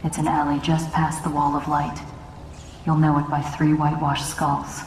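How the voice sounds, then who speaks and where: Actress playing pragmatic female assassin, lowish even voice, businesslike, echoey, game briefing. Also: moderate pace, noisy background, lightly acted. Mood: cool, matter-of-fact. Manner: level, businesslike. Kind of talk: video game voice acting.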